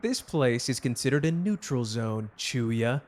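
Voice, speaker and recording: A man's voice: mid-range voice, animated, unhurried, a little mellow, lightly acted. Man acting a swooping, flirtatious, theatrical detective. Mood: teasing, smug. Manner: flippant, melodramatic, smooth-talking. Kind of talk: audio drama roleplay.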